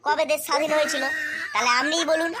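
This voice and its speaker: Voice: sits high, steady tone, fast, over a noisy crowd, lightly acted. A performer voicing a cheeky, excuse-making schoolboy in a comedy cartoon, whiny and argumentative. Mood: cheeky, protesting.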